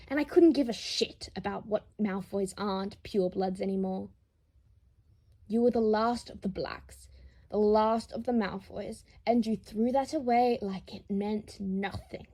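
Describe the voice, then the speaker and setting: A woman's voice: high-pitched, mellow, husky, voice pushed up, exaggerated voice. A woman voicing a wounded, defiant, fiercely protective grown son in an audio story, impassioned and confrontational.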